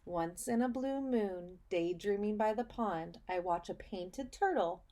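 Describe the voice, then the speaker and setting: A woman with a mid-pitched voice leads a read-aloud lesson for children. She speaks as a speech and language teacher, explaining things plainly and stressing key phrases. She often pauses to put a question straight to the young listener. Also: dark tone, slight background noise.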